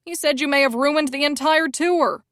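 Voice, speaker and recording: A woman's voice: high-pitched, normal speed, fairly bright tone, no room sound, clean studio sound. A woman voicing a panicky male publicist with a grating whine and a frantic, accusing way of talking. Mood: whining.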